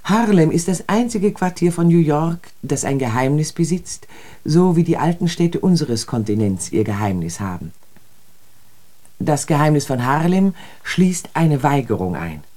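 Reflective city observer in a radio play, soft dark tone, lively melody, earnest and deliberate. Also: mid-range voice. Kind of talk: literary German radio drama monologue.

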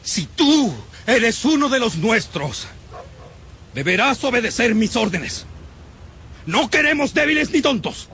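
A man's voice: sits high, creaky, pushed and bright, slight background noise, full character voice. An actor playing a fanatical, contemptuous male survivor in a radio drama, haranguing and menacing in a declamatory way. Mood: ranting, contemptuous.

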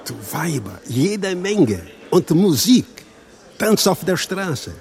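Jovial pleasure-loving husband, high voice, very lively melody, teasing, expansive, radio play. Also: male voice, slow, full character voice. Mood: jovial, coaxing. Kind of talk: radio drama acting.

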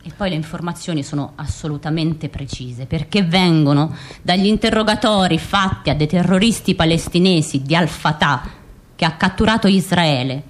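A woman's voice: lowish voice, close to an everyday voice. An actress playing an assured woman justifying anti-terrorist operations in an Italian radio play. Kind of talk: Italian radio theatre monologue.

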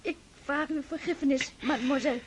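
Woman voicing a bullied noble daughter, very high voice, pleading, teary, radio play. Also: a little fast, a little hiss or hum, lightly acted. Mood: tearful. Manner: pleading, on the edge of tears.

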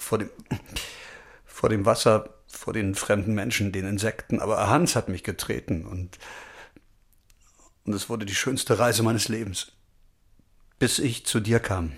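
Shy dying man, low husky voice, halting and tender, audio drama. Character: gravely ill shy man pleading with his beloved.

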